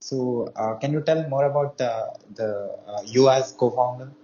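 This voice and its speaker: A man hosting an interview, asking short, halting questions with fillers. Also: mid-range voice, dark tone, slight background noise. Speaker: interview host. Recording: dull lo-fi sound.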